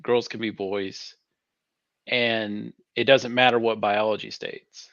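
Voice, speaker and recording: A man co-hosting a casual talk, speaking in a slow, measured way. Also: fairly low pitch, flat delivery, fairly bright tone, dry recording. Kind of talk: casual conversation. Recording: dull lo-fi audio.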